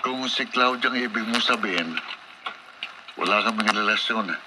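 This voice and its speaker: Humble, loyal young office worker male actor, radio drama; earnest, deferential, lowish voice under loud noise. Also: normal speed, natural voice. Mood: earnest, firm. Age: young adult. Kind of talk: Filipino radio drama acting. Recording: very noisy radio drama broadcast.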